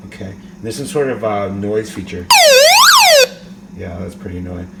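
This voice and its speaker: A man's voice: on the low side, normal speed, vocal fry, loud music behind the voice. A man giving a casual, unscripted gadget demonstration, rambling tentatively and thinking aloud, recorded indoors on a phone. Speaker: amateur gadget reviewer. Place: indoors, kitchen counter. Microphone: phone camera, held or propped nearby.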